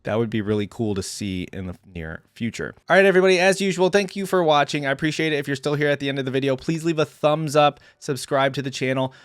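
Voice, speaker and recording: A man's voice: mid-range voice, fast, few pauses, dry recording, clean studio sound. A man hosting a reaction video, giving casual fan commentary on video game voice lines.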